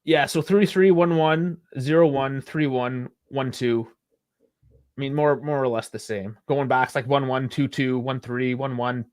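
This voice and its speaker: Male podcast co-host, mid pitch, dry close sound, loose and rambling. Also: steady tone, unhurried, darkish tone, dry recording. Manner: chatty, rambling, laughs often. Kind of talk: casual sports chat.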